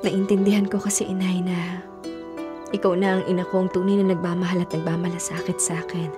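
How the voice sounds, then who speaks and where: An actress playing an earnest, remorseful young wife and mother in a Filipino radio drama, with halting, emotional delivery. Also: fairly low pitch, little pitch movement, music in the background, close to an everyday voice. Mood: earnest, resolved.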